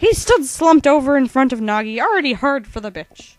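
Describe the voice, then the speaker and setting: Very high-pitched woman narrating a parody. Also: sing-song, normal speed, no room sound, low-quality recording. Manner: mock-dramatic, slangy. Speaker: co-narrator of a fan-made parody. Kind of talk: comic storytelling narration of an abridged game parody.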